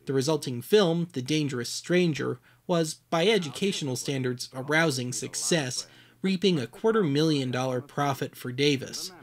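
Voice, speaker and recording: A man delivers scripted documentary narration as a host. His voice sits a little high for a man, and his humour is dry and wry. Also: moderate pace.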